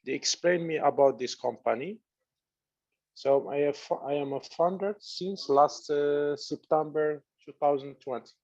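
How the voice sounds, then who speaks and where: A man from Morocco with a Moroccan accent, speaking in halting, non-native phrasing as a panelist on a video call webinar. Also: on the high side, a little mellow, dull audio. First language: Arabic. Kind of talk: casual video call chat.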